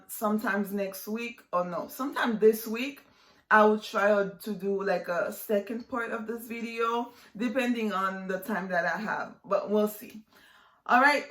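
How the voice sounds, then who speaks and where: A woman's voice: mid-range voice, a bit bright, roomy sound. A woman in her twenties from Haiti, chatting casually to her viewers in a vlog, full of fillers and "like". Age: 20s. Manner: chatty, lots of fillers and likes. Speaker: vlogger. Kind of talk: casual vlog chat to camera.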